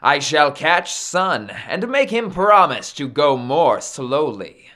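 A bold half-god hero of a storybook, voiced by a man. He declaims slowly and firmly, boastful and fearless, with a mid-pitched voice. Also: big pitch swings, sharp tone, exaggerated voice. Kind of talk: storybook character acting.

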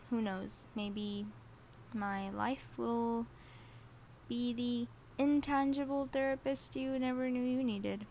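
A woman's voice: a little high, gravelly, a little mellow, a little hiss or hum. A teenage girl from Saudi Arabia hosting her first podcast episode, chatty, self-deprecating and a little nervous. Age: teens. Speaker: podcast host. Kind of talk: casual personal podcast monologue.

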